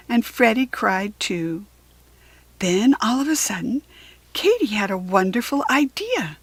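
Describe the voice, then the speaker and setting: Female storybook reader for children: expressive, deliberate, lively intonation, breathy-husky tone. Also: mid-range voice, dry recording. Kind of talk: expressive read-aloud narration for children.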